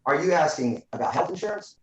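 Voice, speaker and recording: A man's voice: fairly high pitch, animated, fast, background noise. Young father as witness, folksy and polite, roomy echoey room on a video call. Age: young adult. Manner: polite and folksy, with fillers and many "yes, ma'am" replies. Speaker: witness, father in a custody case. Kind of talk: courtroom testimony on a video call.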